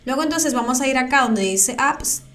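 A woman's voice: high voice, lively intonation, normal speed, fairly bright tone. Woman talking viewers through clicks. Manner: patient step-by-step walkthrough, addresses viewers directly. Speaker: crypto tutorial creator. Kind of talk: screen-recorded software tutorial. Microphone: voice-over on screen recording.

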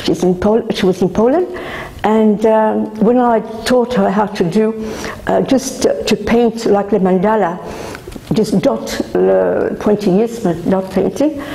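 An older woman speaks about her art at a gallery opening, halting and restarting often with plenty of fillers. Her voice is gravelly and mellow. Faint room noise hangs in the indoor gallery, picked up by a camera some way off. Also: mid-range voice, reverberant, rough recording. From Australia. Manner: halting, many fillers and restarts, gestures while talking. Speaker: artist. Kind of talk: casual talk at a gallery opening.

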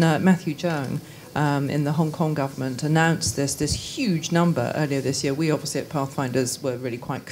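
A woman's voice: low-pitched, a little mellow. A woman in her thirties speaking earnestly as a panelist into a handheld microphone at a conference. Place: conference function room, indoors. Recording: faint room noise.

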